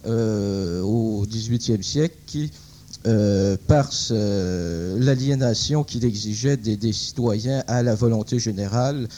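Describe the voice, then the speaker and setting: A man's voice: on the low side, slow, warm tone, slight background noise. A man asking a detailed question on philosophy, hesitant and full of fillers.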